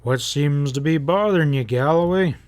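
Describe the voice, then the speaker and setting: A man voicing a calm western sheriff in a novel, gruff and deliberate. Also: on the high side, close to an everyday voice. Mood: gruff curiosity. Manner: gruff, slow-spoken.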